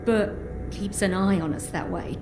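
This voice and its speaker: A woman acts a frightened game scientist with an average-pitched voice, anxious and hesitant. The room echoes around her and music plays clearly behind. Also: unhurried, natural voice. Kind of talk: video game dramatic acting.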